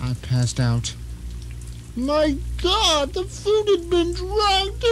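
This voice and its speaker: A man's voice: extremely high pitch, wildly swooping pitch, extremely slow, a little hiss or hum, heavily acted, low-quality recording. A man playing a blind, paranoid, self-important middle-aged captive, narrating an audio drama in a theatrical, suspenseful way. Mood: alarmed, dazed.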